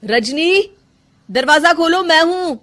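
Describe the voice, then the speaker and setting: A woman's voice: extremely high pitch, expressive, slow pace, fairly bright tone, voice pushed up, exaggerated voice. A woman voicing an earnest, concerned young husband in a moral story, with an insistent, questioning manner. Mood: shocked, stern.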